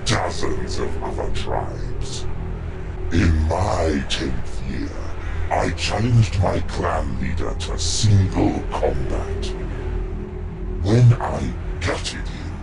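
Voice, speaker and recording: A man playing a ruthless, proud war god in a video game, declaiming in a grandiose, deliberate way with a gravelly voice. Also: extremely low pitch, heavily acted. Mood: grim, proud. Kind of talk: dramatic video game character monologue.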